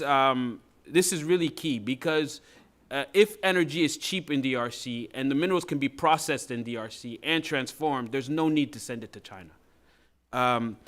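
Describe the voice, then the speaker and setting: A man giving prepared expert testimony at a hearing, often halting and searching for his words. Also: mid-range voice, fairly bright tone. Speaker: expert witness at a legislative hearing. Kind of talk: prepared testimony read aloud.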